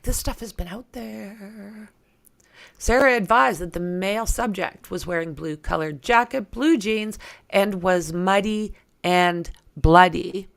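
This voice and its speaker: A woman with a creaky voice hosting a true crime livestream, reading aloud and chatting informally with viewers as she thinks aloud. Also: on the low side, fairly bright tone. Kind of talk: reading documents aloud with casual asides to viewers.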